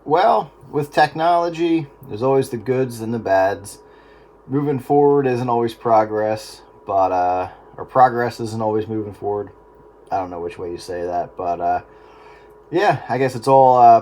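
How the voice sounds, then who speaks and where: A man's voice: on the low side, lively intonation, normal speed, a little mellow. A middle-aged American man chatting casually and folksily over a two-way radio. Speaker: amateur radio operator in a round-table chat. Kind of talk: casual chat. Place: on-air radio contact. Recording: very noisy two-way radio transmission.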